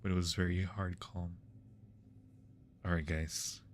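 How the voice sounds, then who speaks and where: A man's voice: low-pitched, flat delivery, measured pace, slight background noise. A man hosting a livestream, chatting casually with his viewers while playing games. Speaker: livestream host.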